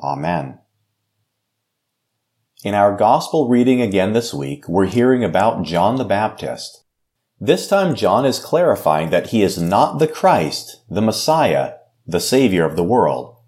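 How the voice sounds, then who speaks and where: A man, a pastor, giving a devotional and reading scripture aloud in a slow, measured way. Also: lowish voice, big pitch swings, darkish tone. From United States.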